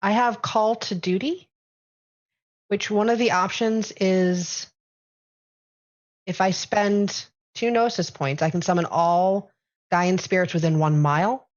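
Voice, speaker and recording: A woman's voice: medium pitch, takes it slowly, pauses often, muffled recording. A woman playing a tabletop game, plainly explaining her character's abilities and rules. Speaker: player.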